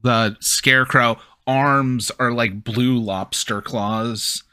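A man's voice: medium pitch, steady tone, takes it slowly, bright, forceful tone, smooth, no echo. A man narrating a tabletop game casually as game master.

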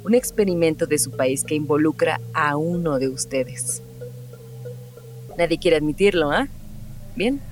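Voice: mid-range voice, animated, a little slow, long pauses, faint music behind, close to an everyday voice. An actor playing a bitter, relentless officer interrogating suspects in a Spanish audio drama. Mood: threatening.

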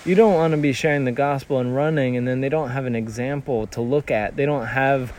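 A man's voice: mid-range voice, a little fast, darkish tone, a little hiss or hum. A man who grew up in Tanzania, talking earnestly as a missionary in an interview, telling stories with frequent restarts. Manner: earnest, storytelling, many restarts. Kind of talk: conversational interview.

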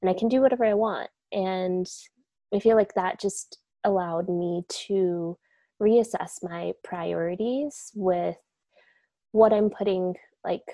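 Young woman, low gravelly voice, mellow tone, laid-back, reflective guest. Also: fairly even intonation, slow, no echo. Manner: soft-spoken, laid-back, many likes and you-knows. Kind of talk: casual reflective conversation.

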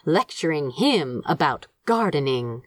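A woman reading a story aloud as an audiobook narrator, measured and expressive in her scripted delivery. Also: deep voice, no echo, studio quality. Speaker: fan fiction audiobook narrator.

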